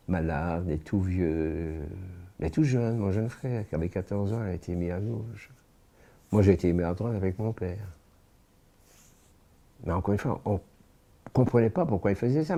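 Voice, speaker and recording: An elderly man speaks in a low, very dark and mellow voice. He recounts slowly and gravely, often pausing and restarting his sentences as he searches for words. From born in France. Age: 80s. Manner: halting, with frequent restarts and pauses. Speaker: witness giving testimony. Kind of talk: spoken personal testimony.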